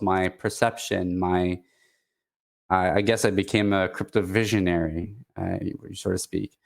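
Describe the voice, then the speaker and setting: Quebecois man speaking in halting restarts. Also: lowish voice. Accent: Quebecois French. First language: French. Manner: halting, many restarts and fillers. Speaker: guest. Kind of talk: casual chat.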